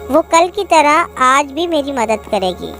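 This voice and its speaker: A woman voicing a lazy, scheming donkey in a children's storybook tale, with a smug, drawn-out delivery. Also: high-pitched, very animated, a bit bright, soft background music, voice pushed up, full character voice. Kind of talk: animated storybook character acting.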